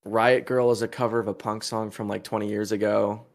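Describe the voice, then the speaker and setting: Heated young man, lowish voice. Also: little pitch movement, brisk, creaky. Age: 20s. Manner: rambling, filler-heavy, heated and crude. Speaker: musician interviewee. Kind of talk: casual interview answer.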